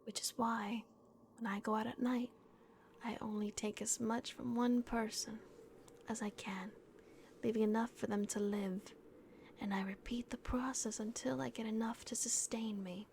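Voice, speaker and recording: A woman voicing a gentle, remorseful vampire guardian in a breathy, hushed whisper, as an ASMR roleplay. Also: a little high, fairly even intonation, a little hiss or hum. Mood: somber, confessional. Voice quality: breathy, whispered with creaky edges. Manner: slow, hushed, hesitant pauses. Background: dark castle ambience.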